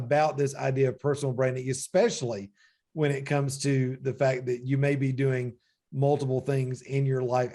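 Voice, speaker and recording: A man's voice: medium pitch, warm tone. Reflective man in his forties, host. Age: 40s. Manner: reflective, heavy use of "you know". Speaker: podcast host. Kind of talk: faith-based conversational interview.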